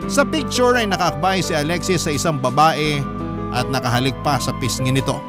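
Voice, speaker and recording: A man's voice: on the high side, fairly quick. A man with a Filipino accent narrating a personal love story dramatically in the first person for a radio drama. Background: prominent music bed.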